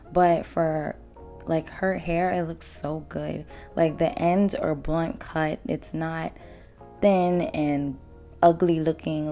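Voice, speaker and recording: A hoarse young woman with a deep, gravelly voice talks her viewers through a hair tutorial in a chatty, blunt, slangy way. Her tone is dark and mellow, and soft music plays faintly behind her. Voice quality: naturally low voice. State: hoarse, lost voice after drinking. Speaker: beauty vlogger. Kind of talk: casual hair tutorial talk. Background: faint background music.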